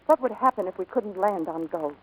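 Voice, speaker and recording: A woman's voice: medium pitch, animated, brisk, slightly rough, rough recording. A woman acting a proud, guarded American aviator in dramatic, emotionally intense 1940s radio style. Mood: anxious, hesitant.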